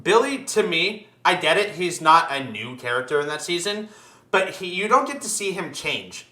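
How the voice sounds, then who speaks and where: A man's voice: a little high, sing-song, normal speed, pushed and bright. A man in casual opinionated chat as a podcast host, ranting emphatically and swearing often. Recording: echoey room.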